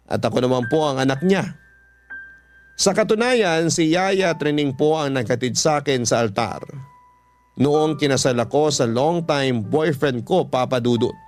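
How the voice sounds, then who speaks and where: A man reading a listener's letter as a radio host, in polite, measured, sentimental first-person storytelling. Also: mid-range voice. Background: music.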